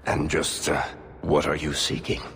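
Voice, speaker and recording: A man's voice: rumbling low, completely flat delivery, unhurried, a bit bright, breathy edge, full character voice. An actor playing a wry male dwarf who tells a story under questioning, dry and sardonic. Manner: dry, sardonic, laughs things off. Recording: game music behind.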